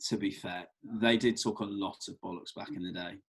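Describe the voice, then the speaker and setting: A man's voice: low-pitched, fairly even intonation, fairly quick, a bit bright, husky, full character voice. A man acting an affable, cheeky, slightly hapless young man in a comedy script read, with hesitant, wry colloquial delivery. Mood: sheepish, teasing.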